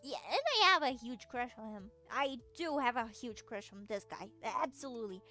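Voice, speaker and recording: A woman's voice: on the high side, expressive, moderate pace, bright, forceful tone, soft background music. A young woman from Brazil with a Brazilian Portuguese accent, chatting casually with her viewers, rambling with lots of fillers and giggles. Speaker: streamer.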